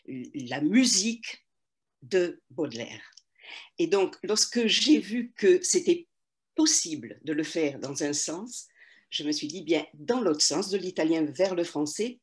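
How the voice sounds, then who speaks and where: An older woman, a literary translator, answering interview questions slowly and thoughtfully, pausing often and restarting phrases. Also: fairly low pitch. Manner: slow, measured, many hesitations and restarts.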